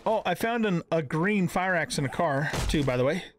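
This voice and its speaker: A middle-aged man with a slightly high voice talks through a video game as he plays. He is conversational and thinks aloud, in casual commentary. There is faint noise and clicking behind him. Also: animated, normal speed. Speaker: gaming video host.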